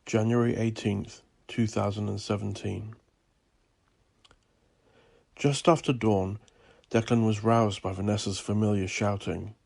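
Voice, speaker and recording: Gravelly, low-voiced man narrating horror. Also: fairly even intonation, darkish tone. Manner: theatrical, deliberate, ominous. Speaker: audio drama narrator. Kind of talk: dramatic scripted horror storytelling.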